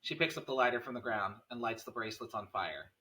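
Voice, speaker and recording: Bright, forceful male screenplay narrator. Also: medium pitch, fast talker, low-quality recording. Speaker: narrator reading stage directions. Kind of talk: scripted screenplay narration at a table read.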